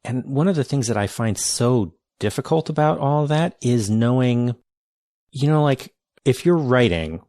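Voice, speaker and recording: A man's voice: mid-range voice, lively intonation, unhurried, mellow, dry recording, clean studio sound. A man hosting a podcast interview, enthusiastic and searching for words with frequent restarts. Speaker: podcast host. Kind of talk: casual interview.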